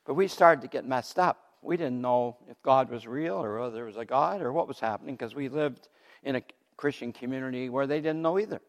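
Older man, loud lively pulpit storyteller, mid pitch, ringing hall sound. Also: fairly quick. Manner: loud, animated storytelling with laughs. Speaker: guest speaker giving testimony. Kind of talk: testimony sermon. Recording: long hall reverb.

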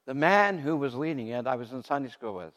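Older man, loud lively pulpit storyteller, mid pitch, ringing hall sound. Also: fairly quick. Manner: loud, animated storytelling with laughs. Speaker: guest speaker giving testimony. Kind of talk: testimony sermon. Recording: long hall reverb.

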